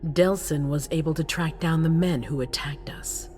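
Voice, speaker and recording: A woman playing a cold, calculating female vampire prince in a video game, with a regal, controlled and sometimes hushed delivery. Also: sits low, normal speed, soft background music, close to an everyday voice. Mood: imperious, menacing. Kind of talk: dramatic video game acting.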